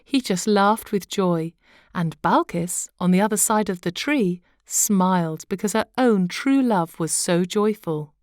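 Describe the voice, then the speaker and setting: Female children's audiobook narrator, medium pitch, lively melodic range, dry studio-like sound. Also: unhurried, no echo. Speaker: storyteller, audiobook reader. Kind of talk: expressive children's story narration.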